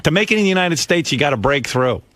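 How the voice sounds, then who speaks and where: A man's voice: fairly high pitch, animated, quick pace, a bit bright. A man talking in a boastful, challenging manner.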